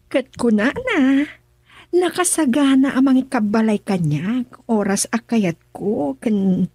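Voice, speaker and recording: An actress playing a brazen, teasing young mistress in Ilocano radio drama, with a Filipino accent. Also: female voice, fairly high pitch, slow pace, warm tone, breathy edge, no echo, heavily acted. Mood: boastful, coy.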